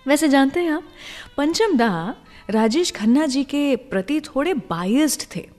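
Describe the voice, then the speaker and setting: A woman's voice: sits high, lively intonation, a little slow, darkish tone. A woman presenting a music radio show, speaking conversationally and directly to her listeners. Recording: long reverb, music playing behind. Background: background music.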